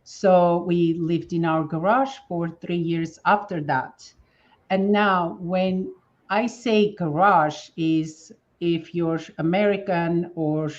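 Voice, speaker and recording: Low-voiced woman from Armenia, muffled. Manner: earnest, grateful, speaks in fragments. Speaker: guest. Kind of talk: conversational storytelling.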